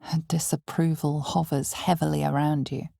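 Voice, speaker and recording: A woman playing an ominous, knowing narrator of a dark fantasy video game, speaking in a measured, dramatic, hushed way. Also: low-pitched, level tone, gravelly, no echo, studio quality, full character voice. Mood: grave, measured.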